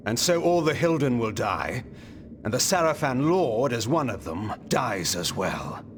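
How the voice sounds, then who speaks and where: A proud, self-assured vampire antihero from a fantasy game. His mid-pitched voice swings with theatrical, lively intonation. He is cold and haughty, clipping his formal phrases. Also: unhurried, background noise. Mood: cold, probing.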